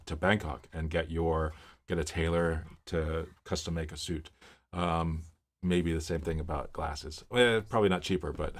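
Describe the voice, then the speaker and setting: A man in his fifties, originally from Miami, hosting a casual livestream and chatting through viewer comments with rambling stories and many fillers. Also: low voice. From Miami, Florida. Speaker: livestream host. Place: home office.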